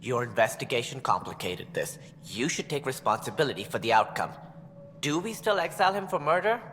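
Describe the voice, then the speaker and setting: Man as a smooth, self-important alien director in echoey hall. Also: mid-range voice, lively intonation, a little fast, fairly bright tone, natural voice. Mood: grave, measured. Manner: formal, politician-like. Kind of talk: video game cutscene acting. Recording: echoey hall with clear background noise.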